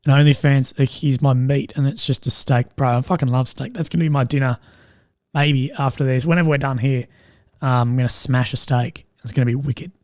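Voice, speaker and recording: Young man, strained croaky voice, rambling casual chat, frequent swearing, dry recording. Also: mid-range voice, fairly even intonation, a little fast, darkish tone, dry recording. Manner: rambling, swears often, laughs at own jokes. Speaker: live stream host. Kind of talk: casual live stream chat.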